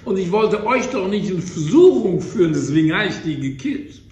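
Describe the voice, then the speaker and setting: Man doing a high, boozy hare. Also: sing-song, moderate pace, darkish tone, highs cut off. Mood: mock-apologetic. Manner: apologetic, sing-song excuses. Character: boozy sly male hare, always drunk. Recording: echoey room, distant mic.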